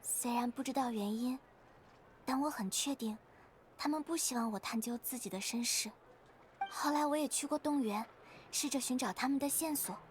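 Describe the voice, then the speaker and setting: A woman voicing an earnest, curious, bookish young adventurer in an anime-style game, with expressive, youthful delivery. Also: high-pitched, moderate pace, slight background noise, close to an everyday voice. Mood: wistful. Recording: clear game music behind. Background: game music.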